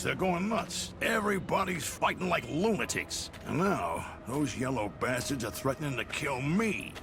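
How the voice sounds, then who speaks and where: An actor playing an angry, threatening old mob boss in a video game cutscene, with a gravelly voice. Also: male voice, a little high, full character voice. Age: older. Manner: gravelly, furious, menacing. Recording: game cutscene with score behind.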